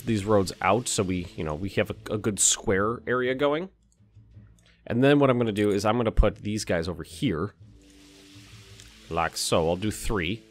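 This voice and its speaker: Slightly hoarse man muttering through gameplay. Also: on the low side. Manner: thinking aloud, muttering, mild cursing. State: a little hoarse. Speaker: let's play host. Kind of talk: casual gameplay commentary.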